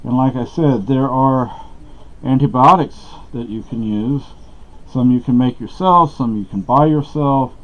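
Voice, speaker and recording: Middle-aged man from Texas, chatty and meandering, recorded in a dark room on a dull-sounding camera mic. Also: medium pitch, measured pace. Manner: meandering, many fillers and restarts. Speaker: vlogger. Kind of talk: rambling casual talk to camera. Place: small dark room at home.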